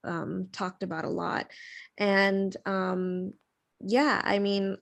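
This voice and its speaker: A woman leads a panel discussion, speaking in a friendly manner with frequent fillers. Her voice sits at an ordinary middle pitch. She sounds relaxed and conversational. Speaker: moderator.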